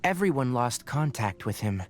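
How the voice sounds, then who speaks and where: A man voicing a young, reasonable, modest scholar in a video game, speaking in a measured, explanatory way. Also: medium pitch, big pitch swings, natural voice.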